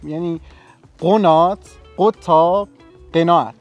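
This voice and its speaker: A man's voice: fairly high pitch, fairly even intonation, dull audio, soft background music, natural voice. A man playing a bossy, demanding woman contestant in her late fifties in a comic sketch, teasing and domineering. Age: 57 as played.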